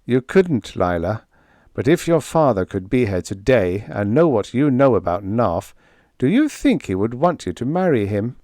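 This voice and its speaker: A man voicing a wry, stoic young space officer in an audiobook, calm and sober in manner. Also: mid-range voice, moderate pace, no room sound, close to an everyday voice. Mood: concerned. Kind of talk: audiobook character voice.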